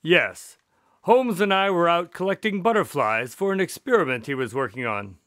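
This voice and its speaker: An affable doctor and detective's sidekick in a radio drama. His voice is bright and fairly high, with a very animated melody. He sounds polite and reassuring, a little hesitant as he tells of old cases. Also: normal speed, dry recording, clean studio sound. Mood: storytelling. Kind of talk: radio drama acting and storytelling.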